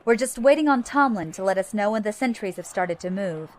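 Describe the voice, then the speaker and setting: A woman's voice: mid-range voice, animated, a little fast, natural voice. Woman acting a wry, cunning rebel captain. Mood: focused, poised. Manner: wry, matter-of-fact. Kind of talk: audio drama acting.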